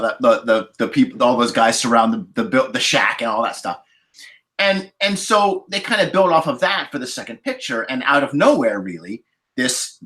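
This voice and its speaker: Animated man with wide-swinging pitch. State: recovering from a cold, occasional cough. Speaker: podcast panelist discussing a film. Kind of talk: casual roundtable discussion.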